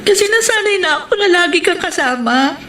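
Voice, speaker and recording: An actress playing a devoted, earnest young college student in a Filipino radio romance drama. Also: female voice, very high-pitched, expressive, fairly quick, a bit bright. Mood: tearful. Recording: roomy sound over music bed.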